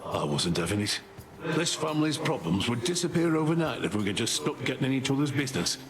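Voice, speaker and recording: An actor voicing a blunt, laid-back god who speaks in an offhand, grumbling way, in game dialogue. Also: male voice, mid-range voice, big pitch swings, fast talker, natural voice. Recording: game dialogue over loud music.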